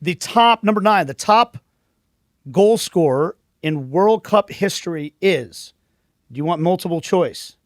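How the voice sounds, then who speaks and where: A man's voice: sits high, lively intonation, measured pace, dry recording, clean studio sound. Upbeat man hosting a trivia quiz. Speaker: podcast host. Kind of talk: casual trivia quiz and show intro.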